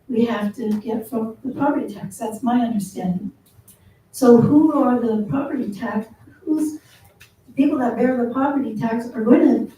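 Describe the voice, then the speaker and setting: A woman with a small, soft voice speaks earnestly at a council meeting, pausing on ums. Her tone is very dark. She is heard from a distance in a roomy, echoing chamber with faint noise. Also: a little high. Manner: earnest, measured, with frequent ums. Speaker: public commenter. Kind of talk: public comment at a council meeting.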